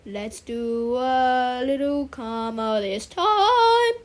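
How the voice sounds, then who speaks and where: Woman putting on a slow, sing-song drama teacher. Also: high voice, expressive, exaggerated voice. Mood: gushing, soothing. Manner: drawn-out, theatrical delivery. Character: sing-song, gushing female drama teacher directing children.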